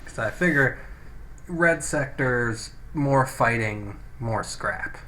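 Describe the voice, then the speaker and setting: A man with a mid-pitched voice hosts a gaming video. He talks casually and offhand, thinking aloud as he plays. The cheap microphone gives a rough recording with faint noise behind him. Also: expressive, slow, sharp tone. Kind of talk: casual let's play commentary. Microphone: cheap low-quality microphone.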